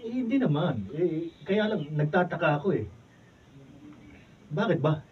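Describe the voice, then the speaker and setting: An actor playing a curious, naive younger cousin in a Filipino radio drama. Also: male voice, fairly high pitch, expressive, measured pace, a little hiss or hum. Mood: puzzled. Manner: inquisitive, surprised. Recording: dull sound, distant mic.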